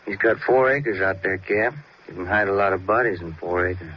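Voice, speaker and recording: A man acting a police detective in a vintage radio drama. His voice is fairly low, his talk informal and practical. Clear noise runs through the muffled recording. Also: close to an everyday voice. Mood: practical, suggesting. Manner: informal, brisk cop talk. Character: eager, practical male police detective sidekick.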